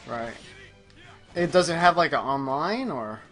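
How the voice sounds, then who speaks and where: A man chatting casually as he plays a video game, often distracted and halting as he talks. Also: fairly high pitch, animated, fairly bright tone, soft background music. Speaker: video game streamer playing live. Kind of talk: casual gaming chat while playing.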